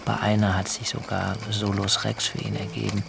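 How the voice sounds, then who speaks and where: Young utopian dreamer for radio play, earnest and high-flown, gravelly fry in the voice, music underneath. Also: male voice, flat delivery, normal speed. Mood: earnest enthusiasm. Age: young adult. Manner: elaborate, formal, high-flown phrasing. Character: earnest, shy young idealist vegetarian sun worshipper. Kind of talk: German radio drama acting.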